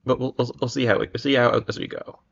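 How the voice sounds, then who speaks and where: Gravelly-voiced man chatting on a livestream. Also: mid-range voice, fairly even intonation, fast talker. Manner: hesitant, rambling, self-interrupting. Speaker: livestream host. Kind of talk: casual livestream chat to viewers. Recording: dull, lo-fi microphone sound.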